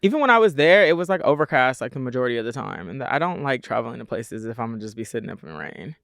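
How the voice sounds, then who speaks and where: A man hosting a podcast, chatting in a quick, playful way. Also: mid-range voice, lively intonation, gravelly, a bit bright, dry recording, studio quality. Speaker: podcast host. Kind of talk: casual chat.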